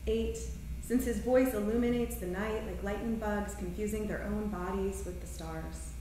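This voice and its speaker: A woman in her thirties, a poet presenting and reading her literary work to a live event in a measured, deliberate way. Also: medium pitch, steady tone, warm tone. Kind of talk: poetry and prose reading with short spoken introductions. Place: theater stage, indoors. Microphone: distant camera microphone. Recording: very echoey theater, distant, faint noise.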